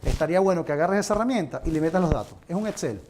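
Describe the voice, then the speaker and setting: Slightly high-voiced man advising a live audience. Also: expressive, racing. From Venezuela. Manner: earnest, advising, addresses the audience directly. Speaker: conference presenter. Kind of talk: live seminar talk with audience questions. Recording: noisy room recording.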